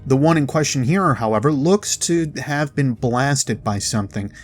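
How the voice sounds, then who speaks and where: A man hosting a game lore video, delivering narrated commentary directly to viewers with dramatic pauses. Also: mid-range voice, animated. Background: background music.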